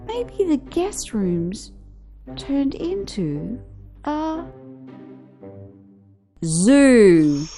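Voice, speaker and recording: A woman voicing a curious, excitable little boy from a children's storybook, full of wonder and conspiring with his teddy bear. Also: high-pitched, pitched above the performer's own voice, heavily acted. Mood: eager wonder. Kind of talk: children's storybook character reading. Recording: music behind the voice.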